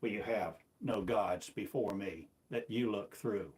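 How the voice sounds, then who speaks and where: A man's voice: on the low side, a little slow, a little mellow. A man delivering a rambling, self-recorded sermon, asking one repetitive, incantatory rhetorical question after another. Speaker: self-styled preacher.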